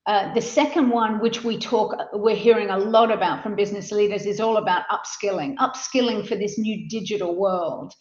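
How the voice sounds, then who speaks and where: A middle-aged woman with an Australian accent talks through a webinar, steady in pitch and often restarting phrases with fillers. The sound is muffled and roomy, as if picked up in an echoing room. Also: normal speed, hardly any pauses. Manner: fluent, with frequent fillers and repeated words. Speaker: advisory leader, webinar panelist. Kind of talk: webinar presentation. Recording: muffled audio, roomy echo.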